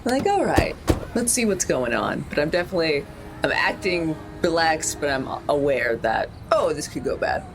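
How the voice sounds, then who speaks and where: A woman's voice: deep voice, very animated, normal speed, background noise. A woman playing a tabletop roleplaying game, talking casually in and out of character.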